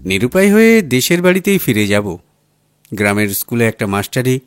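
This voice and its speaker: A man acts out a down-at-heel male writer out of work, talkative and apologetic, in an audiobook story. The pitch swings in a plaintive, familiar way, and the sound is dry. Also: very animated, fairly quick, no room sound, lightly acted. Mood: embarrassed, pleading. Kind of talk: audiobook character dialogue.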